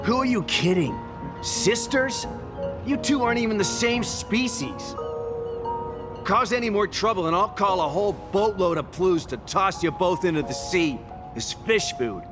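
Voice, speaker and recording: A man's voice: high voice, unhurried. An actor playing a hostile, scolding townsman in a video game, accusing and disdainful. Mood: scornful. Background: background music.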